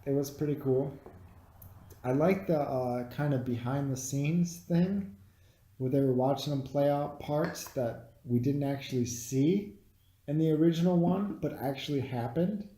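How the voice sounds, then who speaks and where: Echoey, mellow man in casual film chat. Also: on the high side, measured pace. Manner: swears freely, slangy. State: has been drinking beer. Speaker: podcast co-host reviewing a film. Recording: echoey, roomy sound.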